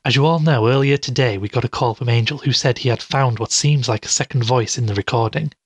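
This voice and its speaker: A man's voice: medium pitch, a little fast, breathy edge. A man acting as a sceptical, tired podcaster in an audio drama, speaking in a casual, understated way. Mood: measured narration. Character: sceptical, tired male podcaster investigating a mystery.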